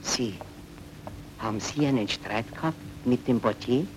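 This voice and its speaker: A man's voice: mid-range voice, measured pace, background noise, natural voice. An actor playing a suspicious father among bystanders in a film drama. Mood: agitated, accusing. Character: suspicious Viennese bystander, father of small child. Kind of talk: German film drama dialogue.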